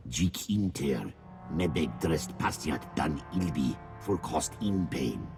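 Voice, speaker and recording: A man voicing a menacing, imperious alien warlord in a video game, declaiming slowly in an invented tongue with a deep, gravelly voice. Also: expressive, music in the background, heavily acted. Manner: slow, declamatory.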